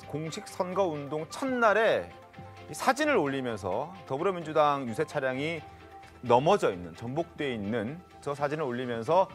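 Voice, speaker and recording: Male news talk host, animated pitch. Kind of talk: broadcast news presenting and questioning panelists. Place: TV studio.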